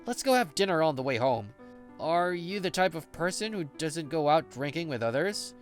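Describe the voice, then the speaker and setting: A man playing an easygoing, patient office senior in an English manga dub, with an expressive, conversational delivery. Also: a little high, normal speed, faint music behind.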